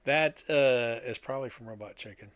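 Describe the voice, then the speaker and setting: Older man, average pitch, casual conversational podcast style, dry recording. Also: moderate pace, a bit bright, no echo. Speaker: podcast co-host. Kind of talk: casual chat.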